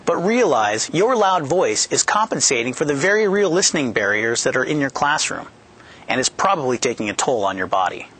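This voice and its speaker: A man's voice: medium pitch, very animated, a little fast, fairly bright tone. A man in his thirties, a product manager, giving a scripted, upbeat training presentation on a studio set, speaking into a headset mic. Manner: upbeat, jokey, clearly explaining steps. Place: studio set with a yellow backdrop. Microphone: headset boom mic.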